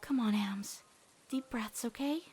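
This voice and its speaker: A woman voicing an anguished, guilt-ridden teenage girl in an emotional cartoon comic dub, with a raw, halting delivery. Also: a little high, darkish tone, husky, faint music behind. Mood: soft, soothing regret.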